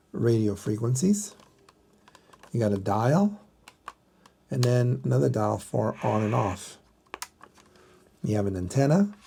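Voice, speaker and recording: A middle-aged man talks through a hands-on demonstration at a slow pace. His voice is relaxed and mellow with plenty of creak, and he speaks haltingly, thinking aloud as he goes. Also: mid-range voice, a little hiss or hum. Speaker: vlogger showing a vintage radio. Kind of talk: casual hands-on explainer.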